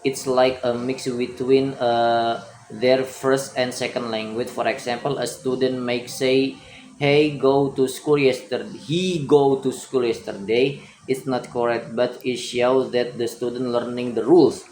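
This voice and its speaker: A young man with an Indonesian accent talks through study questions at an unhurried, deliberate pace, his voice sitting at an everyday middle pitch. He stumbles and repeats words as he thinks aloud. A faint hiss of background noise sits beneath him. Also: fairly even intonation, a little mellow. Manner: halting, repeats and restarts words. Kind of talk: reading answers aloud and adding own comments.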